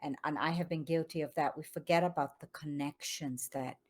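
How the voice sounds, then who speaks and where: A woman from Zambia, a yoga studio founder, speaking calmly and reflectively as a guest on a video call interview. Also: deep voice, a little fast. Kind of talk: online interview conversation.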